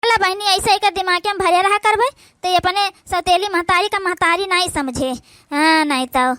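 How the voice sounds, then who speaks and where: A woman's voice: extremely high pitch, moderate pace, sharp tone, no echo, studio quality. A woman with an Awadhi accent voicing a meddling, scheming cartoon aunt with an insinuating, falsely sympathetic manner. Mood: smugly scheming. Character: scheming, meddling aunt turning a girl against her stepmother. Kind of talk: amateur comedy cartoon dialogue.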